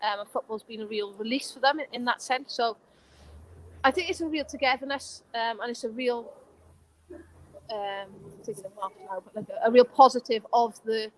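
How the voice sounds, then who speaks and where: Passionate Welsh woman, fairly high voice. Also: normal speed, poor audio. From Wales. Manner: earnest, passionate, warm praise. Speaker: former player and pundit. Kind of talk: interview answers.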